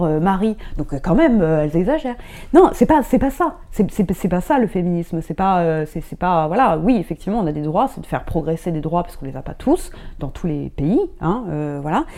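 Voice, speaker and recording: Mellow-voiced woman, halting speech. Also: lowish voice. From Germany. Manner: hesitant, many restarts and fillers. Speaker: guest. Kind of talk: casual interview talk.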